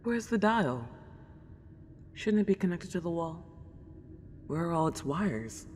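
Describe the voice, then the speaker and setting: A woman voicing a polite, excitable century-old ghost girl in an ASMR roleplay, with old-fashioned genteel wording and gushing wonder. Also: fairly low pitch, a little slow. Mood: puzzled. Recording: long reverb, clear background noise.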